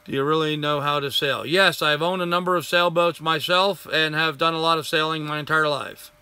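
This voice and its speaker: An older man hosting a casual live stream, chatting and answering viewer comments, getting hoarse after a long session. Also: a little high, steady tone, brisk, sharp tone, dry recording. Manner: rambling, friendly, greets viewers by name.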